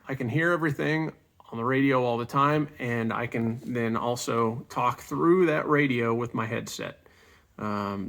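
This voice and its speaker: A middle-aged man with a gravelly, fry-edged voice and a medium pitch walks through his gear. He speaks informally and plainly, like a hobbyist making a casual review vlog, with clear enthusiasm for the setup. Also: a bit bright. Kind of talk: casual product walkthrough vlog.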